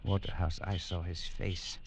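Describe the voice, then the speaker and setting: Arrogant colonial adventurer, old-time radio. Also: male voice, low voice, little pitch movement, a little slow, noisy background. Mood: shaken. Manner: dismissive, scoffing, hesitant when cornered. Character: arrogant, flippant young colonial expedition man, evasive under pressure. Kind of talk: 1940s-50s American radio drama acting. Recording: vintage radio recording with music.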